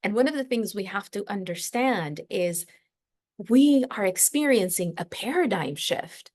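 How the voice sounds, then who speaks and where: A woman's voice: medium pitch, expressive, measured pace, a little mellow. Reflective woman teaching astrology, mid-range voice. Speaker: astrology podcast host. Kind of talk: unscripted spiritual astrology lesson.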